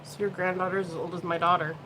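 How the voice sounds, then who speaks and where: A woman's voice: on the low side, flat delivery, quick pace, background noise. A woman in her late thirties chatting casually while doing her makeup, rambling and swearing freely. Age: 30s. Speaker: beauty livestream host.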